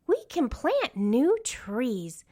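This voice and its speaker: Lady as an enthusiastic storybook puppy boy, eager to help, pitched up high and swoopy. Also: wildly swooping pitch, extremely slow, warm tone, exaggerated voice. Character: keen, enthusiastic young male rescue pup. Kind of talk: storybook character reading.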